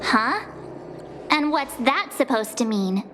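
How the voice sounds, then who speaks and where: A woman's voice: high voice, expressive, takes it slowly, background noise. An actress voicing a feisty, blunt teenage girl adventurer in a video game. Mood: indignant. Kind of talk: scripted video game dialogue. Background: faint background music.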